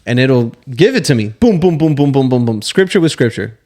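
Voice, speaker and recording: A man's voice: mid-range voice, lively intonation, fairly quick, mellow, faint music behind. Preachy, conversational podcast co-host man. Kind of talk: casual faith-based podcast chat.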